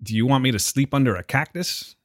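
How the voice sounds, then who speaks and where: A man's voice: mid-range voice, brisk, dry recording, studio quality. An American man co-hosting a podcast in casual banter, with a joking, teasing manner and some swearing. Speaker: podcast co-host.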